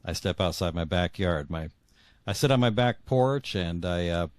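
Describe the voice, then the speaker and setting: Lowish-voiced American man, halting and conversational. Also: lively intonation, dry recording, clean studio sound. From northwest Iowa, United States. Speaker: podcast guest, fiction author. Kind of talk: casual conversational interview answers.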